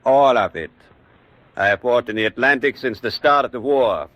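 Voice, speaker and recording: An actor playing a defiant, boastful captured German U-boat officer with a German accent, smug and evasive, halting over his words. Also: mid-range voice, no room sound, dull audio, lightly acted. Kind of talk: 1940s radio acting.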